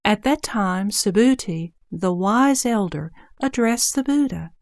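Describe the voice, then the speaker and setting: A woman narrating a scriptural audio drama in a measured, formal way. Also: medium pitch, animated, no echo.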